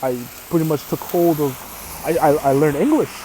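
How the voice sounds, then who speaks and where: A man's voice: a little high, expressive, normal speed, mellow, slight background noise, poor audio. A man from Canada whose first language is Arabic, teaching scripture informally and often checking in with "right?". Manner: casual, repeats "right?" often, restarts phrases. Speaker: religious teacher.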